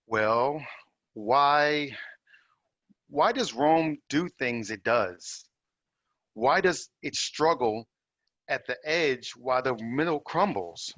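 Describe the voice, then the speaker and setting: A man's voice: medium pitch, expressive, slow, pauses often, a bit bright, dry recording. An actor playing a humble, guarded young African man in ancient Rome, hesitant and earnest, in a drama read-through. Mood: guarded, questioning. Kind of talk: dramatic table-read acting. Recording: muffled, slightly lo-fi, dry.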